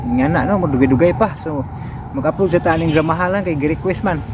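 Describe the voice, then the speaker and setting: Higher-pitched man chatting over loud outdoor noise. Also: quick pace. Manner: hesitant, checking with frequent tag questions. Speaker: vlogger introducing uploaded radio dramas. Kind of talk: casual rambling chat to listeners.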